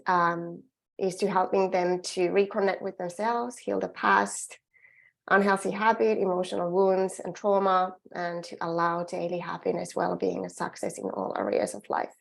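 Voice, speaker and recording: Finnish-accented woman with a creaky voice. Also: medium pitch, unhurried. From Finland. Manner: earnest, encouraging, with frequent restarts. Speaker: emotional well-being coach. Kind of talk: webinar presentation.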